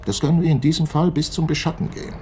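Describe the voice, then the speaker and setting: A man acting in a radio play as a defensive, self-important head of company security who explains himself deliberately. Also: on the high side, a little mellow, a little hiss or hum, close to an everyday voice. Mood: matter-of-fact.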